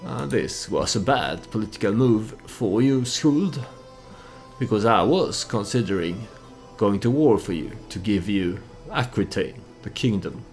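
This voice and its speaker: A man's voice: on the low side, animated, measured pace, faint music behind. A man who is not a native English speaker gives casual gameplay commentary with storytelling, in a hesitant, meandering manner. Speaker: let's play host.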